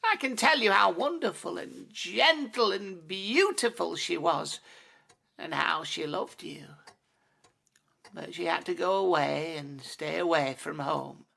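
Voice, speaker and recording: A woman voicing a gentle, injured, ghostly male cat in an audio story, solemn and tender, with a hushed, halting delivery. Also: on the low side, vocal fry, full character voice.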